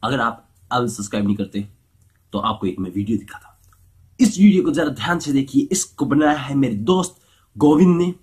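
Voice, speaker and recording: A man's voice: mid-range voice, animated, a little fast, a little mellow. A playful young man from India, vlogging casually to a camera held at arm's length, at home and outdoors in a village. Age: young adult. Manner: playful, jumps between Hindi and broken English. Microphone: handheld camera at arm's length.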